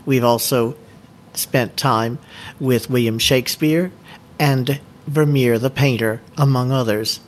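Male book club presenter, mid-range voice, measured and explanatory, faint background noise. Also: mid-range voice, steady tone. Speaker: book discussion co-host.

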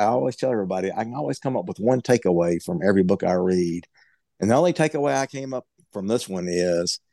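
Low, halting man in blunt talk. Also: a little mellow, no room sound, studio quality. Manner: halting, many stammered repeats; blunt and opinionated. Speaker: book group member. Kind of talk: casual group discussion.

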